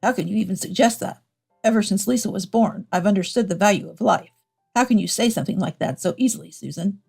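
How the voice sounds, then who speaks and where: A woman's voice: medium pitch, fast talker, creaky, a little mellow, husky, soft background music. A woman voicing an evasive, self-justifying cheating husband in a dramatized story.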